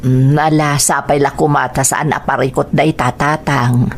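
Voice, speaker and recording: A woman plays a caring village mother in an audio drama. Her voice is low, with a Filipino accent and gentle, respectful delivery, over faint background noise. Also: steady tone, normal speed. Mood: gentle, concerned. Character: caring grown daughter and mother of a village family.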